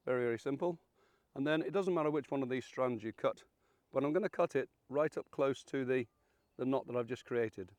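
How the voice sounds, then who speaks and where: A man around seventy, croaky and hoarse from illness, hosting a fishing channel and walking through a rig in a chatty, folksy, self-deprecating way. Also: medium pitch, fairly quick, a little mellow, no echo. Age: 70s. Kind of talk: casual how-to demonstration.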